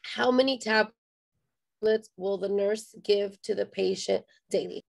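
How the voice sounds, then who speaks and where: Hoarse woman student, gravelly, mid pitch, reads aloud in class. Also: unhurried. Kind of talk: reading a problem aloud in class.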